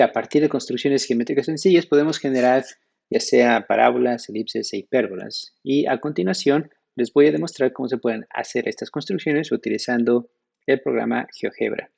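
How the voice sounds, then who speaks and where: Gravelly Mexican man presenting slides. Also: mid-range voice, darkish tone. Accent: Mexican Spanish. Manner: measured, explanatory, teacherly. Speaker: conference presenter.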